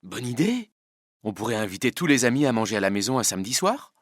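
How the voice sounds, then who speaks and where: Casting: male actor as everyday husband, opinionated yet easygoing, medium pitch, dry studio sound, language-exercise dialogue. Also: sing-song, fast, no echo. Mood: agreeable. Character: husband who dislikes costume parties, easygoing but opinionated. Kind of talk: acted dialogue for a listening exercise.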